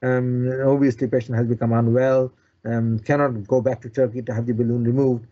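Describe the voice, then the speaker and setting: A man from Bangladesh speaking as a surgeon being interviewed, explaining in a halting way with repeated words and restarts. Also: mid-range voice, level tone, mellow, highs cut off. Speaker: consultant bariatric surgeon, interview guest.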